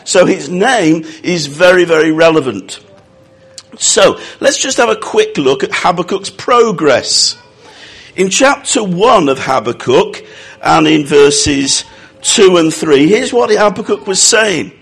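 A man preaching a sermon, croaky from a cold, with jokey, self-mocking asides. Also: fairly high pitch, expressive, takes it slowly, a bit bright.

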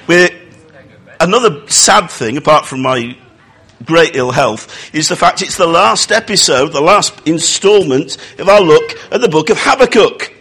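A man preaching a sermon, croaky from a cold, with jokey, self-mocking asides. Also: fairly high pitch, lively intonation, a little slow, a bit bright.

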